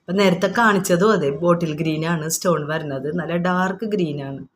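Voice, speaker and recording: A woman's voice: on the low side, a little fast, slight background noise. A woman with a Kerala accent informally showing products item by item, explaining each and repeating prices in an unscripted, repetitive way.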